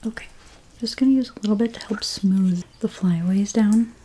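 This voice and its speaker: A woman whispering in an ASMR role play as a hairstylist, gently talking through each step in a breathy, mostly unvoiced voice. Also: medium pitch. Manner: gentle, unhurried, step-by-step personal attention. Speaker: ASMR creator playing a hairstylist.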